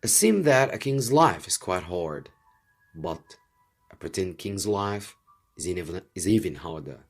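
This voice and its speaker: A man narrating a story for students in a slow, deliberate and theatrical way, as a teacher reads aloud. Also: deep voice, big pitch swings. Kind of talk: dramatised audiobook narration for students.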